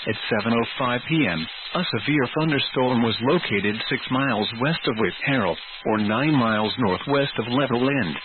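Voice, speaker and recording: A man with a formal, measured, synthetic-sounding delivery reads a scripted emergency weather bulletin over the radio. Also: medium pitch. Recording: tinny, noisy weather radio broadcast.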